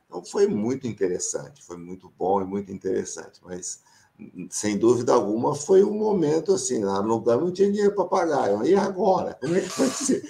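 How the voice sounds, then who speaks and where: A man's voice: lowish voice, creaky, darkish tone. A middle-aged Brazilian man with a São Paulo countryside accent, a professor reminiscing casually, laughing often and acting out quoted dialogue. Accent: Brazilian Portuguese, São Paulo countryside. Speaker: professor and association founder.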